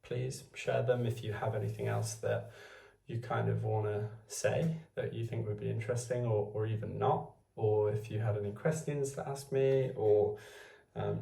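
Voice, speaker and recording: Guy in his 20s, gravelly low voice, just rambling thoughts to his phone, roomy echo. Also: dark tone, far from the mic. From Wales. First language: Welsh. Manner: meandering, thinking aloud. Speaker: vlogger. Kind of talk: unscripted musing to camera.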